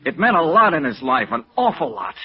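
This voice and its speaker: Gravelly, steady radio noir investigator. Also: male voice, high voice, a little fast, heavily acted. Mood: earnest, firm. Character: steady male investigator patiently talking down a desperate woman. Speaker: actor in radio drama. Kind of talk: 1950s radio noir acting. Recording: dull lo-fi old radio recording.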